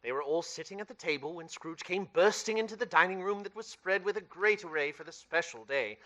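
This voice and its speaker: A man's voice: high-pitched, lively intonation, fairly quick, pushed and bright. A man playing the narrator of a Christmas radio play, telling the tale with emphatic theatrical delivery. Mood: merry, storytelling.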